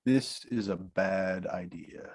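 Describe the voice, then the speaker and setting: A man's voice: deep voice, takes it slowly, darkish tone. A man reading a blunt, mocking Hollywood agent. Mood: exasperated. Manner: laughing, crude, mocking. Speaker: actor at a table read. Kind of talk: comedic table read.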